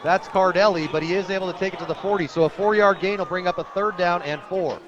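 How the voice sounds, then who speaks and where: High-voiced American man calling football over crowd. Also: steady tone, quick pace, muffled recording. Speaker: play-by-play announcer. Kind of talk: live football radio play-by-play commentary. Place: outdoor high school football stadium. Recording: loud crowd behind, outdoor stadium broadcast. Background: crowd noise.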